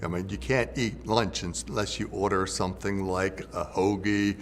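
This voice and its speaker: A man, a linguist, calmly explaining dialects in an interview. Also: sits low, moderate pace, slight background noise. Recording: long reverb with faint music.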